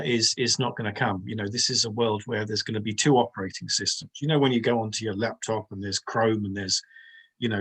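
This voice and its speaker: Husky-voiced man on a panel. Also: medium pitch, fairly bright tone. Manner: hedging, lots of "you know", chuckling. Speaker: panelist professor. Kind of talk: panel discussion.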